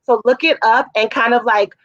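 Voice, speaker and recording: A woman with a raspy voice giving casual, effusive feedback as a judge at a music showcase. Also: on the high side, steady tone, normal speed, fairly bright tone, no room sound, lo-fi sound. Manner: effusive, chatty, full of fillers.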